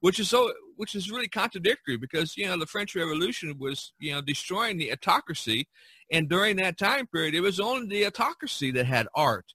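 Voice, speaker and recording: Bright-voiced man chatting casually. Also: high voice, animated, brisk, slightly rough, no room sound. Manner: rambling, storytelling. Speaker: podcast host. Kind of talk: casual discussion of art history.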